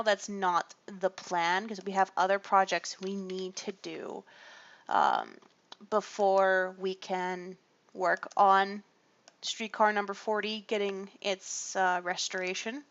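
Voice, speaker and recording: A woman chatting casually to her livestream viewers while she draws colouring pages. She rambles along with a gravelly voice, lots of fillers and little laughs. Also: medium pitch, steady tone, takes it slowly, lo-fi sound. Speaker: streamer drawing colouring pages.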